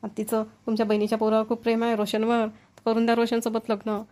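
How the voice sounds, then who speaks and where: Woman acting fast-talking, outspoken village auntie. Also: a little high, monotone, mellow, close to an everyday voice. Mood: insistent. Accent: Vidarbha Marathi. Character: outspoken, chatty middle-aged village woman. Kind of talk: amateur cartoon voice acting.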